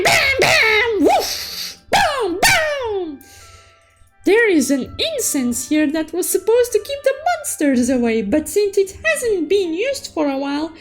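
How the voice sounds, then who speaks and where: A woman narrates as an excitable little cartoon unicorn in an extremely high voice. Her intonation swings widely, yet she takes her time with the words. The delivery is playful and exclamatory, like an animated storybook recap of a game, over background music. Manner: playful, exclamatory, cutesy third-person persona. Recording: music bed behind the voice.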